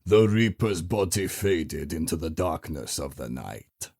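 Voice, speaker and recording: A man's voice: deep voice, expressive, a little slow, darkish tone, exaggerated voice. A man playing a grave storyteller, narrating a gothic monster tale in an ominous, theatrical way. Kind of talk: dramatic scripted horror narration. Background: music.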